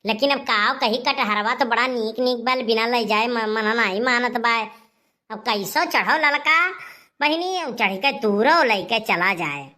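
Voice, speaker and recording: High, bright Awadhi village elder sister played by a woman. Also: unhurried. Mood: coaxing. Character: heavyset village elder sister, wheedling, crafty comic schemer. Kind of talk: Awadhi rural comedy cartoon dialogue.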